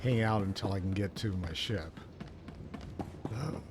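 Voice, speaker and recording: A man's voice: fairly low pitch, measured pace, over music. A man chatting casually as he plays a video game on a live stream, narrating what he does. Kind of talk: casual live gameplay chat. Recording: stream with clear background noise.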